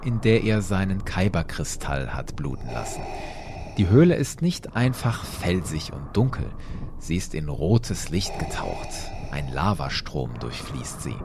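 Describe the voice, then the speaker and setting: A man's voice: deep voice, background noise. A man narrating a scripted story dramatically, as a host retelling it for listeners.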